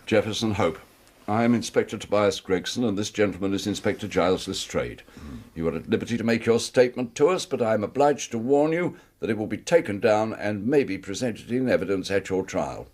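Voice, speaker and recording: Husky, low British police inspector. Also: male voice, expressive, brisk, darkish tone. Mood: pondering, official. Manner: formal, hesitant, humming thoughtfully. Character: ponderous British police inspector taking a statement. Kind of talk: radio drama acting.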